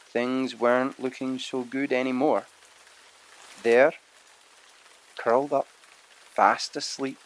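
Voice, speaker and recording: A man narrating an audiobook in a dramatic storytelling manner, pausing for emphasis. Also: medium pitch, poor audio.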